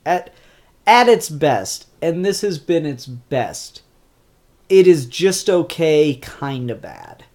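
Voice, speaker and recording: A congested, stuffed-up voice drawls along at a slow, easy pace. This comic reviewer talks offhand and unscripted, full of ums and half-started sentences. The pitch sits in an ordinary middle range. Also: very animated, darkish tone. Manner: unhurried and offhand, many ums and restarts. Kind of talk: casual spoken comic review.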